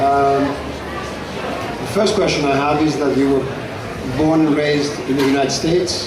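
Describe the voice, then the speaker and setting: A man with a Greek accent hosting a book talk, asking slow questions with many pauses and fillers. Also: a little high, steady tone. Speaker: host. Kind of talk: moderating a book presentation. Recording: echoey room from far off, noisy.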